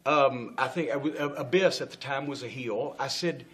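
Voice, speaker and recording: A man's voice: mid-range voice, moderate pace, a bit bright. A middle-aged man telling a long backstage story in a studio interview, acting out the people he quotes, swearing freely and laughing often. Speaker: interview guest. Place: indoor studio set with stage lights.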